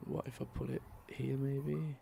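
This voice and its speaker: A man's voice: mid-range voice, flat delivery, a little slow, creaky, mellow, slightly rough, no echo. A man, chatting casually to viewers on a live stream and reading out their comments. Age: 30s. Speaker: livestream host.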